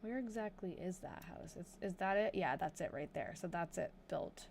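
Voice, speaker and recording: A young woman with a New England accent, chatting casually on a live stream as she reads an old diary aloud slowly and thinks out loud. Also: lowish voice, vocal fry, slight background noise. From New Hampshire. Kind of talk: casual livestream chat and slow diary reading.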